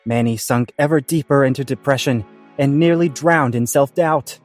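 Man acting pompous amateur thespian, average pitch, declamatory, comedy audio drama, faint music behind. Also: moderate pace, close to an everyday voice. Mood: proud, grand. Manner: mock-archaic, declamatory.

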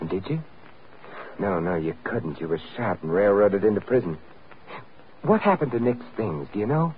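An actor playing a suave, commanding amateur sleuth, insistent and dryly witty, in an old-time radio drama. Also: male voice, fairly low pitch, animated, normal speed, phone-quality sound, natural voice. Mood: urgent, pressing. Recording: thin, noisy vintage radio broadcast recording.

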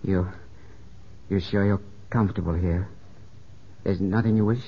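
An actor playing a proud, suspicious young country gentleman in a 1950s radio drama, speaking in a clipped, formal, brooding way. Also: deep voice, fairly even intonation, measured pace, slightly rough, exaggerated voice. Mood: awkward, polite. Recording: muffled 1950s radio recording, loud music.

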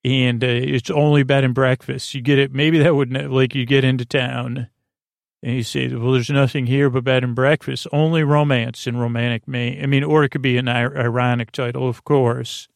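Creaky-voiced man murmuring a rambling monologue. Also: medium pitch, flat delivery, no echo, studio quality. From United States. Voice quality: murmuring drone. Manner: meandering, halting, stammering, repeats words. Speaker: podcast host. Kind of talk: rambling bedtime podcast monologue.

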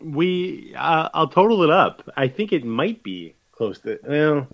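Lively wry man. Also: a little high, unhurried, no room sound. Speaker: podcast co-host. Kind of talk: casual chat. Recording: dull lo-fi dry audio.

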